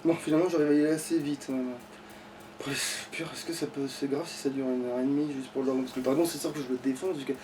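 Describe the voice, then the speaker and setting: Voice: medium pitch, steady tone, fast talker, background noise. A gamer giving casual, sweary, grumbling commentary while playing. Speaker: let's play streamer. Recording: distant mic with game music behind. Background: video game music.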